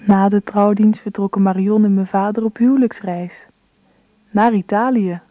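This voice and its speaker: A woman's voice: medium pitch, steady tone, moderate pace, dry recording, lightly acted. A woman playing the narrator of a radio drama, telling a family story in a scripted way. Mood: matter-of-fact. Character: narrator recalling their father's wedding. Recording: dry, muffled old radio recording.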